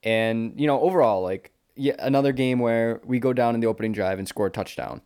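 A man's voice: medium pitch, fairly quick, darkish tone, smooth. An American man co-hosting a sports podcast, talking casually and informally like a confident fan. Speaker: podcast co-host.

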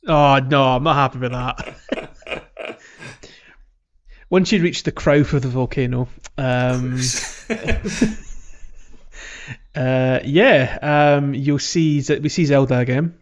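A man's voice: mid-range voice, takes it slowly, long pauses. A man hosting a podcast, chatting casually about games in a jokey way, prone to chuckling. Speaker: podcast host. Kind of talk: casual game discussion chat. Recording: slightly muffled, music underneath. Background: background music.

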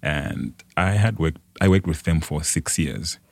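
A young man with a Malawian accent and an exceptionally deep voice. He tells his life story in a conversational way as a guest, in a dry recording with hardly any room sound. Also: animated, a little slow, creaky, a little mellow, no room sound. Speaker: podcast guest.

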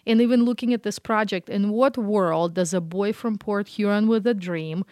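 A middle-aged woman talks in a relaxed interview, telling lively stories and acting out her own past reactions. The sound is close and dry, with no room echo. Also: mid-range voice, normal speed. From Russia. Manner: animated storytelling, acts out quoted reactions. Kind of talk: casual interview chat.

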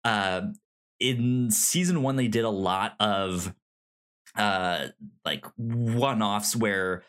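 A man with a fairly low voice chats casually as a podcast host. He is enthusiastic and often stammers, repeating words and sounds as he goes. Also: extremely slow, fairly bright tone. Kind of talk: casual chat about TV shows.

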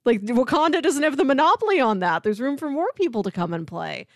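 A woman's voice: sits high, sing-song, fairly quick, no echo, studio quality. A woman co-hosting a casual podcast, chatting in an enthusiastic, effusive way. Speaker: podcast co-host.

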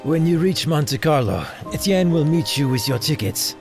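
A man's voice: a little high, lively intonation, normal speed, over music. An actor playing a courteous, attentive man who assists travellers in an audio drama, polite and obliging. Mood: helpful.

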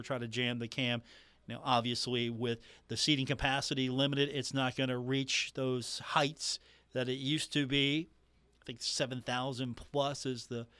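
A man's voice: fairly high pitch, a bit bright, no room sound. A man from West Virginia hosting a sports talk radio show in a conversational monologue, repeating phrases and putting questions to listeners. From Huntington, West Virginia, United States.

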